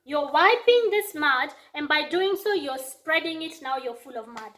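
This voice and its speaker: This is a young Kenyan woman with a high-pitched voice, talking to her viewers in an advice vlog. She speaks English as a second language, with a clear Kenyan accent, and she makes her points emphatically, often saying them twice. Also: lively intonation, a little slow, a bit bright. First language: not English. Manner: emphatic, repeats lines for effect, acts out quoted people. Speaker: YouTuber.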